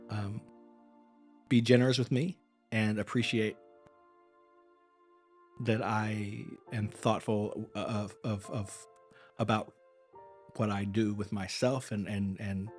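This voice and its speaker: A man's voice: fairly low pitch, a little mellow. A man who works as an acting and performance coach, chatting casually with viewers on a live stream. He sounds tired, his voice is wearing thin, and he rambles earnestly, restarting his words as he goes.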